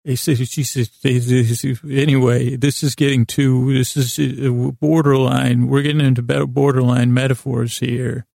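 Male podcast host, creaky mid-pitched voice, dry close sound; meandering, self-deprecating bedtime rambler who keeps interrupting himself. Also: flat delivery, darkish tone, dry recording. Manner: halting, meandering, self-interrupting with false starts.

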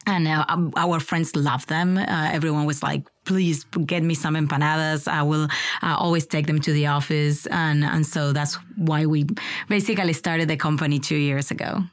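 A woman's voice: low-pitched, steady tone, moderate pace, no echo. A woman from Argentina, a podcast guest talking casually, with many fillers and repeated words. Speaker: food company co-founder, guest. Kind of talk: casual interview.

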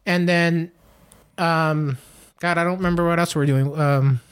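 A man's voice: a little high, fairly even intonation, unhurried, clear, resonant tone. Rambling man in his late thirties. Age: 30s. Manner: rambling, many fillers and restarts. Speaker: podcast co-host. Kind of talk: casual podcast chat.

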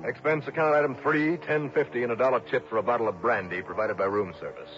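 An actor in a 1960s radio drama playing a tough but concerned insurance investigator, speaking in a clipped, direct way. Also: male voice, mid-range voice, quick pace, close to an everyday voice. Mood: matter-of-fact. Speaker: lead and narrator of detective serial. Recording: dull, noisy old radio recording.

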